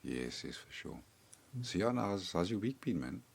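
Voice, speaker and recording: Very deep, husky South African man. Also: big pitch swings, moderate pace. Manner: rambling, repeats words. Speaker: podcast guest and co-host. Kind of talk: casual podcast chat. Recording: remote call.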